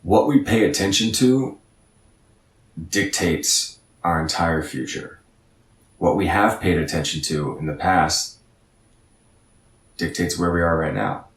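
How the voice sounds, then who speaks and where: A man's voice: deep voice, slow, pauses often, echoey room, distant mic. A man in his thirties giving an earnest, reflective motivational talk as a podcast guest, often repeating words as he thinks. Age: 30s.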